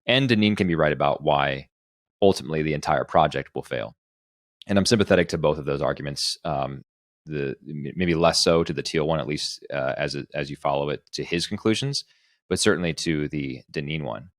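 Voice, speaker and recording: A man with a low, deep voice co-hosts a discussion podcast. He talks in an articulate, analytical way, and the sound is dry with very little room. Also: a little fast, no echo. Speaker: podcast co-host.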